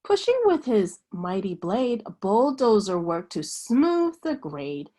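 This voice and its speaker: A woman reading a children's picture book aloud like a teacher, with an expressive, sing-song bedtime delivery. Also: mid-range voice, slow.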